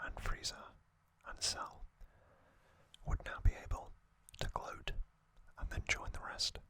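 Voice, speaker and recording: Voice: measured pace, sharp tone, poor audio. An ASMR host whispering a recap in a conversational, slightly hesitant way.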